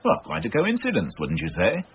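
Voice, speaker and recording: An actor playing a politely sceptical police inspector in a radio crime drama, measured and courteous with pointed questions. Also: male voice, on the low side, wildly swooping pitch. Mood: probing. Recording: dull, faintly noisy radio recording.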